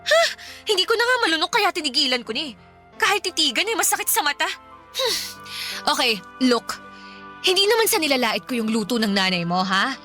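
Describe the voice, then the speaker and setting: An actress playing a blunt, snobbish young girlfriend with a bratty, sharp-tongued manner in a Filipino radio drama. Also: high-pitched, big pitch swings, brisk, soft background music, heavily acted. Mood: defiant, scornful.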